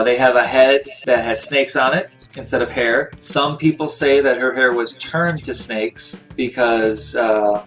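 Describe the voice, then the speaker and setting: A man's voice: mid-range voice, fairly bright tone, rough recording. A man narrating as game master for a tabletop game, descriptive with dry crude jokes.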